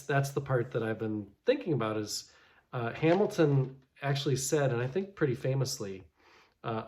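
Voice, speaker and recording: A pastor talks through a morning reflection in a loose, conversational way, often restarting his sentences. His voice sits at an ordinary middle pitch for a man. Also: darkish tone. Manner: conversational, many restarts and fillers. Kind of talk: casual devotional reflection.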